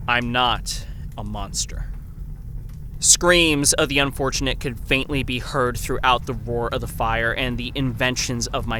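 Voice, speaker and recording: Music plays clearly behind an actor as a haunted male survivor telling his tale in a horror audio drama. He sits at an ordinary male pitch and speaks with grave, deliberate formality. The delivery is theatrical and earnest, like a written account read aloud. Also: natural voice.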